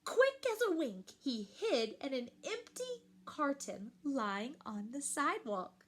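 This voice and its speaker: A woman reading a picture book aloud to young children, expressively and with questions to the listeners. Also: high voice. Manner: animated, slow and deliberate, addressing young children.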